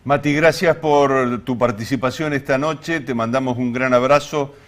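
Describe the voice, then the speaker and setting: Un hombre con acento argentino que conduce un programa periodístico en vivo. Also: male voice, mid-range voice, steady tone, moderate pace. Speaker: TV and radio show host. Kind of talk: live hosting.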